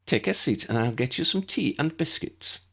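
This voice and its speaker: A man voicing a dignified, welcoming older druid woman in a fantasy story, warm and faintly formal. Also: a little high, lively intonation, normal speed, vocal fry, husky, pitched above the performer's own voice, full character voice.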